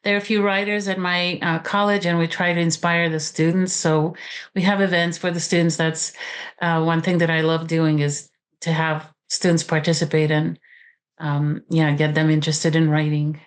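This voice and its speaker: Romanian-accented woman in her forties, low voice, halting, pausing, author guest. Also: flat delivery. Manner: halting, many "um"s and restarts. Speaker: author and college teacher. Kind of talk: interview guest talk.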